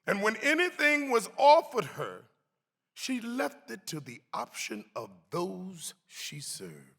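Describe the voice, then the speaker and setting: A man giving a dramatic reading of a historic account, theatrical and deliberate, with swelling emphasis. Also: high voice, huge pitch swings, gravelly, fairly bright tone. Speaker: actor reading aloud. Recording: long hall reverb.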